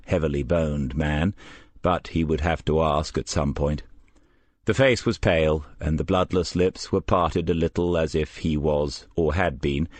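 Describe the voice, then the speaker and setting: A man's voice: low-pitched, no room sound. A man reading a crime story as scripted audiobook narration.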